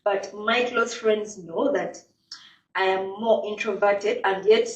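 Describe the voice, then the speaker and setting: A woman's voice: mid-range voice, steady tone, slow pace. A woman hosting a casual interview, chatting and often filling with "like". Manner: chatty, leans on "like" fillers. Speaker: podcast host. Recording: echoey, distant mic.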